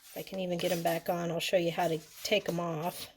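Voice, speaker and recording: A woman's voice: lowish voice, little pitch movement, quick pace. A woman giving a casual, unscripted product demonstration, explaining things step by step in a conversational way. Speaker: product reviewer. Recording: clearly noisy recording with clicks.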